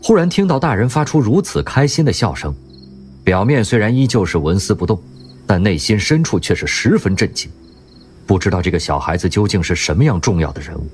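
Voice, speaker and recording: A man narrating an audio drama in an expressive storytelling manner. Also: on the low side, fairly quick, slight background noise.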